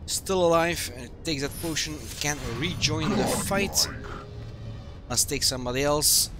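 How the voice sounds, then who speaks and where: A man with a Dutch accent, a native Dutch speaker, calmly calling live play-by-play for an online game stream while he has a cold. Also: a little high, a little slow. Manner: calm running play-by-play. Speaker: esports stream caster. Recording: game music loud behind the voice. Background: loud game music.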